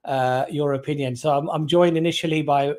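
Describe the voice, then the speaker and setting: Male livestream host, casual and rambling, heavy on fillers, slightly raised pitch. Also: fairly even intonation, a little fast, darkish tone.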